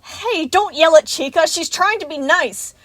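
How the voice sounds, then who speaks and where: A woman's voice: on the high side, animated, moderate pace, no echo. A woman voicing a snarky, fierce female animatronic who snaps and mocks in a story reading. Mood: snarling. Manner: snapping, mocking, snarling.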